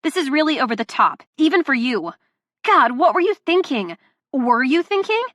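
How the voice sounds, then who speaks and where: A woman performing a young woman who is furious and near tears, ranting in a shrill voice pushed high and loud, as audiobook dialogue. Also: normal speed, dry recording, clean studio sound.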